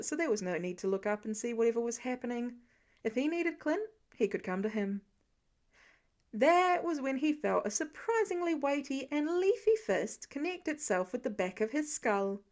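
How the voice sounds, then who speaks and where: A woman narrating scripted fiction as an expressive audiobook-style reader. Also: a little high.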